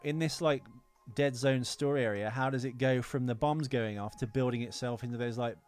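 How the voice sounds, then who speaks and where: A man's voice: medium pitch, a little fast, darkish tone, smooth. Ranting man on a podcast, music behind. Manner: ranting, sweary, emphatic. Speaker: podcast co-host. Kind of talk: casual opinionated film chat.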